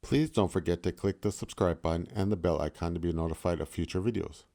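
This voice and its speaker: A man reading a children's story expressively, with deliberate, dramatic phrasing. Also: deep voice, vocal fry, mellow. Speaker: storybook reader.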